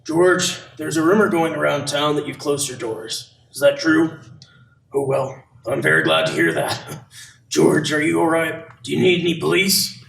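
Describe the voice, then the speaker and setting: In an echoey room, slightly off mic, a scheming old banker in a radio play. The voice creaks and swings widely in pitch, menacing beneath its false concern. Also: male voice, lively intonation, moderate pace, a bit bright, recorded from a distance, a little hiss or hum. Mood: falsely concerned. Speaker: actor in a youth group radio play.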